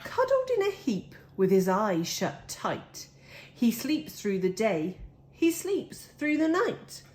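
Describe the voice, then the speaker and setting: A woman reading a children's picture book aloud with deliberate, dramatic phrasing. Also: fairly high pitch, huge pitch swings, darkish tone. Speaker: storybook reader.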